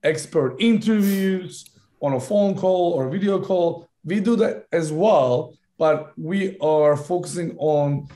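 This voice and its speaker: A man from Turkey, a founder making a fluent business pitch in a podcast interview, correcting himself on the fly. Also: slow pace, dark tone. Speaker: startup founder guest.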